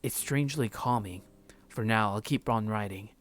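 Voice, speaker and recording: A man's voice: on the low side, normal speed, natural voice. A man reading first-person visual novel narration as a numb, heartbroken man, subdued and brooding.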